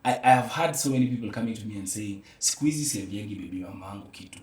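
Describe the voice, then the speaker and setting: A man's voice: lowish voice, racing, a little mellow. Kenyan man chatting in an echoey room. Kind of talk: casual chat, code-switching storytelling. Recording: roomy echo, distant from mic.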